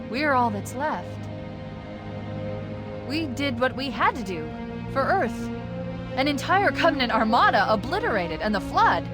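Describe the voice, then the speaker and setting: A woman voicing a quick-witted, resolute military artificial intelligence in a video game, crisp and wry in manner. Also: a little high. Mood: subdued, resolute. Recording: loud orchestral game music behind.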